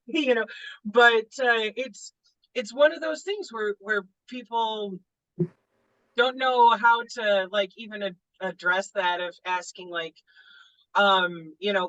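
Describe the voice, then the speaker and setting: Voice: medium pitch, pushed and bright, low-quality recording. A book club member in casual discussion who laughs often, cracks crude jokes and swears.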